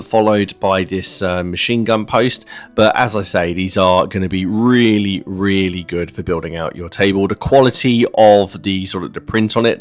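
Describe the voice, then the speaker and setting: A man with a fairly low voice talks through a hobby build in an informal, explanatory way. He sounds like a video host chatting casually over a music bed. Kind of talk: casual narrated kit-building review.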